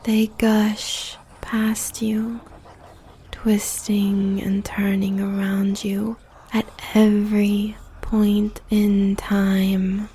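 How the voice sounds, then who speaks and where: A woman's voice: mid-range voice, completely flat delivery, extremely slow, warm tone, a little hiss or hum. A woman playing a seductive, possessive demon succubus queen in a roleplay audio, whispering breathily and savouring her words with teasing sensuality.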